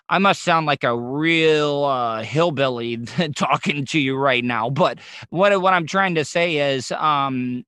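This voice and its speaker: Bright-voiced Southern American man. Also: fairly high pitch, slow, clean tone, dry recording. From Southern United States. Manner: chatty, self-deprecating, stumbling restarts. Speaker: podcast host. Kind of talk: casual interview chat.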